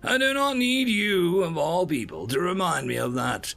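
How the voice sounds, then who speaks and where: A man's voice: sits high, sing-song, moderate pace, gravelly, fairly bright tone, soft background music, voice pushed up, full character voice. A man voicing a self-assured, airy and curt alien senior observer in a science fiction story. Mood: indignant. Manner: firm, dismissive.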